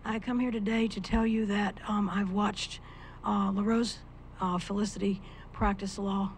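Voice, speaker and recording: An older woman with a soft voice speaking earnestly and a bit hesitantly as a public commenter at a county meeting. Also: medium pitch, fairly even intonation, measured pace, slight background noise. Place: county meeting room, indoors. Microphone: stand microphone.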